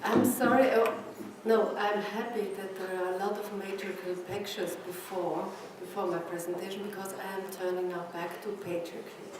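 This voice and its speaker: A woman from Austria, a native German speaker with an Austrian German accent, gives an academic conference lecture, haltingly searching for words. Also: mid-range voice, fairly even intonation. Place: presentation room with an audience. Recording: echoey room, distant mic, noisy background.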